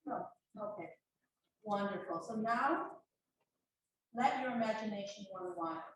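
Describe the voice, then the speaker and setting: A woman from a faith-based coalition, speaking at an event and leading a guided contemplation with slow, calming counted breaths. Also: mid-range voice. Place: event hall. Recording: distant, echoey room with faint noise.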